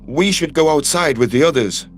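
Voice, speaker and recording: A man's voice: a little high, animated, moderate pace, faint music behind, voice pushed down, natural voice. A man voicing a devoted, reassuring human astronaut in love in an audio story. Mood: tender, reassuring. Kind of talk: audio story character dialogue.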